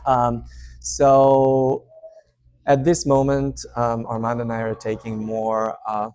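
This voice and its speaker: A man in his thirties from Serbia with a Serbian accent, hosting his channel and talking straight to camera in a relaxed, unscripted way with many fillers. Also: medium pitch, slow, clean tone. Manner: warm, hesitant, many fillers. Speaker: channel host. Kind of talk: casual unscripted update to camera. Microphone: speaking to camera.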